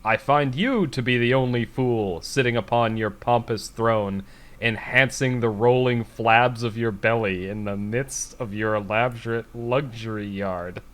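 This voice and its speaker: A massive, surly barbarian warrior, as played by a man reading aloud, declaiming very slowly and scornfully. He sounds defiant, in the grand manner of a pulp fantasy storybook. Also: fairly even intonation, fairly bright tone, lightly acted. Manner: slow, booming, scornful declamation.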